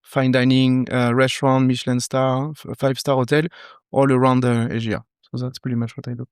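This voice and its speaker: A man in his thirties from southern France with a French accent, talking relaxed and thoughtful, pausing often, as a podcast guest. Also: medium pitch, clean tone, dry recording, clean studio sound. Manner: relaxed, thoughtful, halting with many fillers. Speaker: guest, wine professional. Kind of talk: casual interview talk.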